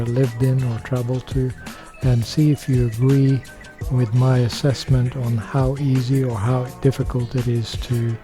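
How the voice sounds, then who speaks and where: An older man from Norway talking casually to camera, rambling with many fillers and sharing opinions. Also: mid-range voice. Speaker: vlogger.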